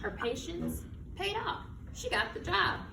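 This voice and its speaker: An American woman reading a picture book aloud to children like a teacher, with expressive, emphatic delivery and questions to her listeners. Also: fairly high pitch, a little slow. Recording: echoey room, distant mic, background noise.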